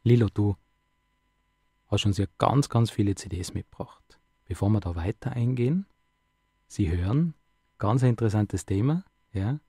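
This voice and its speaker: Man, radio host, mellow voice, dry close mic, conversational. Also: fairly low pitch, expressive, normal speed, pauses often, breathy edge, dry recording. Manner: conversational, asks many questions, tag words. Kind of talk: radio interview.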